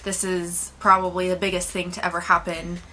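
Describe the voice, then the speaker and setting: Female review co-host, very bright voice, casual and chatty delivery. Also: on the low side, level tone, fairly quick, slight background noise, rough recording.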